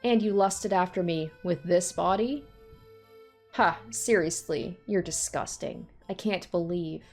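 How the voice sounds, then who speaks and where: A woman's voice: lowish voice, lively intonation, a little slow, creaky, darkish tone, soft background music, full character voice. A woman voicing a cruel young woman who mockingly taunts her captive in a dramatic story reading. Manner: sweetly mocking, sadistic.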